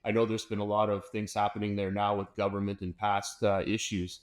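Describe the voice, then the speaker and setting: Male host, somewhat low voice, eager and chatty, lots of restarts. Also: little pitch movement, a little fast. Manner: upbeat, enthusiastic, many fillers and restarts. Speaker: podcast host. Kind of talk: casual interview chat.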